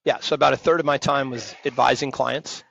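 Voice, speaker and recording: Gravelly fifty-something man, emphatic. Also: mid-range voice, fairly even intonation, brisk, muffled recording. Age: 50s. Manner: emphatic, direct, self-described loud voice. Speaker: podcast guest, retired firm partner. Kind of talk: conversational interview.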